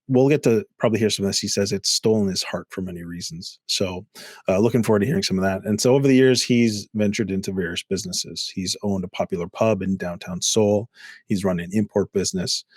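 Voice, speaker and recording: A man's voice: low voice, husky, no room sound. A man co-hosting a casual video-call talk show, speaking thoughtfully and introducing a guest. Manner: thoughtful, measured, lots of "you know". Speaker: co-host. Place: indoor home room.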